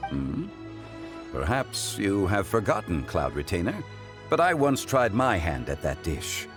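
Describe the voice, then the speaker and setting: A lowish, expressive male voice, formal and unhurried in its phrasing: an ancient, calm, learned gentleman. Soft game music plays beneath. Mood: composed. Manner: measured, formal, philosophical.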